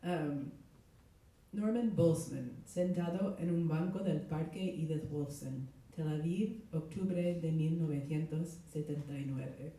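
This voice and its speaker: A middle-aged woman, a literary translator, reading aloud at a live event, her voice hoarse with a cold. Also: deep voice, measured pace, dark tone. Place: echoey indoor event space. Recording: very echoey room, distant mic.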